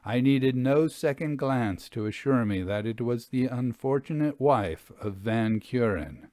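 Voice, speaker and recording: A man's voice: medium pitch, lively intonation, unhurried, darkish tone, dry recording. Solemn male storyteller, dry close sound. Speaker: narrator reading a classic ghost story. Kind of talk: scripted literary narration.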